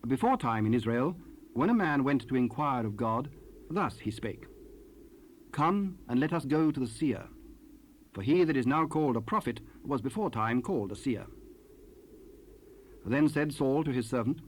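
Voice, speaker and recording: A man's voice: medium pitch, lively intonation. Formal male scripture narrator, faint hiss. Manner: formal, measured. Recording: hissy 1970s cassette rip.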